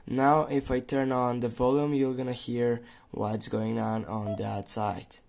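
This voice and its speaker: A man narrating an improvised how-to tutorial, speaking haltingly with pauses in the middle of his sentences. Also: medium pitch, level tone, gravelly, a little mellow. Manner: halting, pausing mid-sentence. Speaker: tutorial host.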